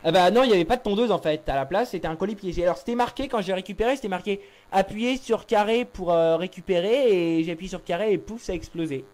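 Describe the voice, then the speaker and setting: A man's voice: high voice, fast talker, few pauses, a little mellow. A young man improvising a prank phone call, feigning seriousness in a hesitant but insistent way. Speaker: prank caller.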